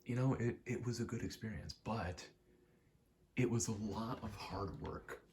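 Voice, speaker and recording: Casting: young man, low soft voice, halting casual ASMR rambler, faint noise behind. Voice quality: soft, low, often slipping into whisper. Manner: halting, self-deprecating, casually sweary. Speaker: ASMR creator. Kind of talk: rambling ASMR, casual personal chat while gaming.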